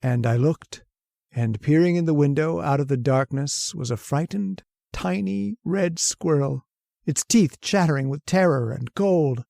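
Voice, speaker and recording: A man's voice: on the high side, measured pace, creaky, dark tone, dry recording, clean studio sound. A man playing a nervous, henpecked, long-lived husband of a witch in a radio horror drama, given to wistful storytelling and flustered stammering. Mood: uneasy, rueful. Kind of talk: old-time radio horror narration and acting.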